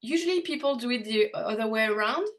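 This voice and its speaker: Woman host, higher voice, non-native English, hesitant, lots of ums. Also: lively intonation, quick pace. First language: not English. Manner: hesitant, many fillers and restarts. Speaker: podcast host. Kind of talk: podcast interview.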